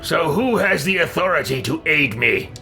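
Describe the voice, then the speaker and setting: A man voicing an indignant, demanding fantasy warden, forceful and declamatory, as game roleplay. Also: a little high, lively intonation, normal speed, gravelly, faint music behind, heavily acted. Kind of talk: amateur roleplay acting over a game.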